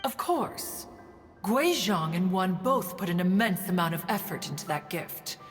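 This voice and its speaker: A woman's voice: on the low side, animated, a little slow, in a large hall. A woman voicing a haughty, aloof immortal adeptus in a video game, speaking in pompous, stilted formal English. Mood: proud. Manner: pompous, stilted formal speech, refers to self as one. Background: music.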